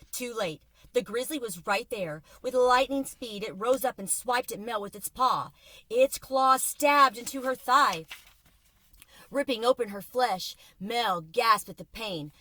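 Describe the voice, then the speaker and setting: A woman reading a children's book aloud from inside a car, with expressive, suspenseful dramatic narration like a teacher. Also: medium pitch, no echo, low-quality recording. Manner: expressive, suspenseful storytelling with varied volume.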